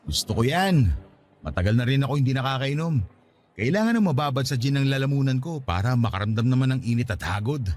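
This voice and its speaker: An actor with a Filipino accent playing an easygoing but defensive husband of thirty-five in a radio drama. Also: male voice, medium pitch, animated, quick pace, a little mellow, close to an everyday voice. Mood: eager, friendly. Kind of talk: Tagalog radio drama acting.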